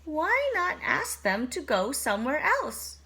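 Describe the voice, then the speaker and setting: A woman voicing a calm, cheerful female pig in a storybook, giving plain, matter-of-fact answers. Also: high-pitched, unhurried, heavily acted.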